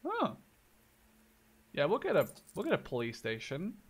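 A young man in his twenties with a slightly high voice hosts a gaming video. He talks casually and thinks aloud, with an excitable manner. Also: normal speed, husky. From Canada or the Netherlands. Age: 20s. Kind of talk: casual let's play commentary.

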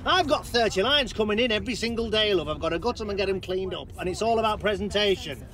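A pompous fishmonger. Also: male voice, sits high, fast, fairly bright tone. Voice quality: pompous, self-important. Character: boastful middle-aged male fishmonger, touchy. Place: inside a small car.

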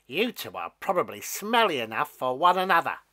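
A towering, spiteful storybook beanstalk, acted out by a man, taunts and boasts at full volume. The delivery is theatrical and gloating, swinging high and low with a bright, hard edge. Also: big pitch swings, brisk. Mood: mocking, spiteful. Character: boastful, mean, taunting talking giant beanstalk.

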